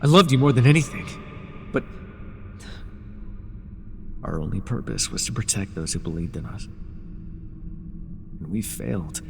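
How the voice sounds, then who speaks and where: An actor playing a grieving, devoted fallen god in mortal form, earnest and reproachful, in a dramatic fantasy audio roleplay. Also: male voice, fairly low pitch, big pitch swings, a little slow, gravelly, pauses often, music in the background, exaggerated voice. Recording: reverberant hall effect, faint noise.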